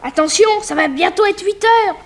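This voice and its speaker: A woman's voice: very high voice, lively intonation, moderate pace. A woman playing a chatty, innocent little boy in a 1960s French radio drama, eager and well-mannered, on a noisy old recording. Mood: playful. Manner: eager, childlike.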